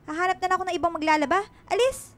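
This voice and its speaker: A woman's voice: very high-pitched, racing, faint music behind. A woman playing a mean, snobbish, accusing housewife in a radio drama, scolding and snappish. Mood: scornful, dismissive. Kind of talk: Filipino radio drama acting.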